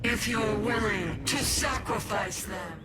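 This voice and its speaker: An actress playing a cold, ruthless villainess in a video game, speaking deliberately and haughtily. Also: female voice, medium pitch, close to an everyday voice. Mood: cold, triumphant. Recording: loud music under game dialogue.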